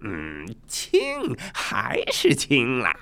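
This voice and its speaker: A man voicing a brash, excitable, mischievous monkey king in a storybook tale, with giggles and exclamations. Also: mid-range voice, drawn-out words, vocal fry, no room sound, full character voice. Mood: dismissive.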